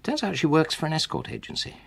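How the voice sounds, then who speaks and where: Wronged husband, husky, sardonic, controlled, swinging intonation, radio drama. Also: male voice, fairly high pitch, wildly swooping pitch, fairly bright tone, exaggerated voice. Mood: dry, sardonic. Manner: dry, sardonic, deliberate. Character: wronged husband, former rugby man, coldly sarcastic and controlled. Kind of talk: naturalistic radio drama acting.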